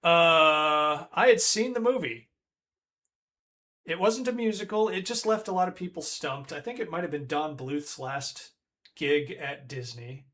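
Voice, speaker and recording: A man in his forties chats casually as a stream host, rambling along and correcting himself as he goes. His voice sits somewhat high for a man, and he talks at an unhurried, slow pace. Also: a bit bright. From Northern Ireland. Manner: rambling, self-correcting, chatty. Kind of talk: casual chat on a retro game stream.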